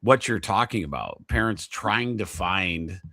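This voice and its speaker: Lively chatty man hosting a podcast. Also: low voice, measured pace, dry recording, studio quality. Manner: laughs easily, filler-heavy talk. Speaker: podcast host, chiropractor. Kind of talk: casual interview chat.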